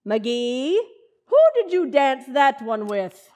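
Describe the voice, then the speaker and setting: A woman plays a teasing, long-suffering wife in an old-time radio comedy. The voice is high and takes its time, with a wry, gently scolding manner. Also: animated, takes it slowly. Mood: fond, nostalgic.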